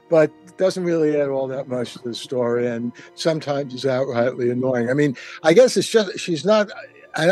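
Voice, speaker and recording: A man speaking as a panelist in a casual roundtable, with a halting, stammering delivery. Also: fairly high pitch, a little mellow.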